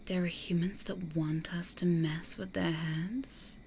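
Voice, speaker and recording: A woman playing a weary, wary vampire in a hushed, dryly grumbling ASMR roleplay whisper. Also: on the low side, fairly even intonation, slow, creaky, slight background noise. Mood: intrigued. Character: weary, wary female vampire with charm powers. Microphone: close studio condenser or binaural mic.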